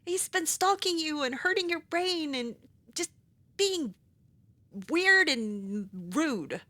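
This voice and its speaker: A woman's voice: very high-pitched, expressive, takes it slowly, sharp tone. A woman playing a game in character, talking in a sarcastic, exasperated, dramatic way. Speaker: let's play host roleplaying her character.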